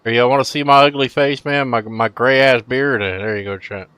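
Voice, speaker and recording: A middle-aged man streaming casually, chatting with viewers in a laid-back, rambling way and letting phrases trail off quietly. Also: medium pitch, steady tone, moderate pace, clean tone, dry recording. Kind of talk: casual live-stream chat.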